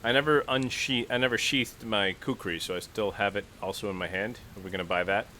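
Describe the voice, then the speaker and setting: A man's voice: fairly low pitch, a little fast, pushed and bright. A man playing a tabletop game, chatting casually and thinking out his moves aloud with frequent restarts. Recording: clear background noise.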